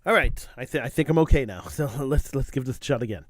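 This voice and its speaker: A man's voice: mid-range voice, fast talker, dry recording. Man, middle-aged, talk radio host, slight cold, emphatic repetition, dry close studio sound. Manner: emphatic, repeats phrases for stress. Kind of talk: impassioned talk radio monologue.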